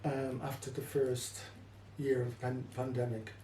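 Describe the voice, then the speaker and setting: A man's voice: mid-range voice, monotone, measured pace, warm tone. An older man being interviewed, speaking hesitantly in short phrases about his own life. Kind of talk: hesitant personal interview talk. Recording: echoey, distant mic, faint noise.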